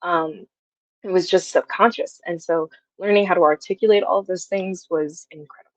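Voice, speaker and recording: A woman's voice: on the low side, steady tone, normal speed, dry recording, rough recording. A woman in her twenties chatting casually as an interview guest, thinking aloud as she talks. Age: 20s. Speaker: guest, audio drama writer.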